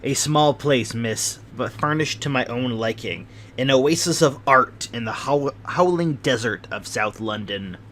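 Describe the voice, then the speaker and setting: A man voicing a fidgety, anxious bald little man of thirty with a high, thin voice and fussy, overly polite manner. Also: measured pace, few pauses, a bit bright, slight background noise, close to an everyday voice.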